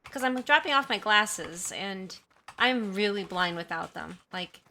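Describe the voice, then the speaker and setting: A woman streams from a room at home, chatting easily with her viewers through a sensitive desktop mic. Her voice is bright and clear at a middling pitch. She rambles and keeps apologizing, and a little background noise comes through. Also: animated, measured pace. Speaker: livestreamer. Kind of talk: casual chat with viewers. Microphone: desktop condenser mic at high sensitivity.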